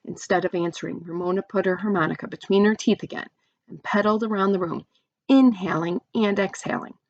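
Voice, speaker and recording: Lady doing an expressive kids story read-aloud, chatty with the little listeners, kinda lo-fi and dry. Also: medium pitch, a little fast, no echo. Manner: chatty asides with questions to young listeners. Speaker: teacher or parent reading aloud to children.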